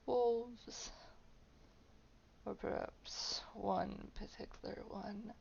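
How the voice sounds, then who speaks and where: Woman playing a slow, whispering, creaky vampire. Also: mid-range voice, exaggerated voice. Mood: cold, condescending. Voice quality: breathy whisper with gravelly creak. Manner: slow, drawn-out, condescending, softly threatening. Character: haughty, menacing old vampire lurking in a castle. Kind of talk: roleplay audio drama monologue. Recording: dull, lo-fi home microphone.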